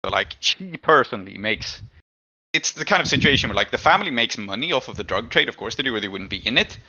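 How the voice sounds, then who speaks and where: Male game master, bright crisp delivery, improvised narration with thoughtful hesitations, slightly dull lo-fi recording. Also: mid-range voice, lively intonation, quick pace.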